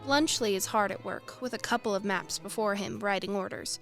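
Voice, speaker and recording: A woman's voice: medium pitch, normal speed. A young woman reading scripted narration as the announcer of a radio play. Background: music under the voice.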